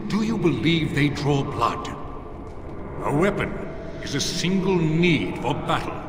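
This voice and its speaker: Echoing, proud warrior spirit. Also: male voice, fairly high pitch. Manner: formal, declamatory, haughty. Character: proud, stern male warrior spirit of valor. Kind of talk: fantasy video game dialogue. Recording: echoing reverb with loud background noise.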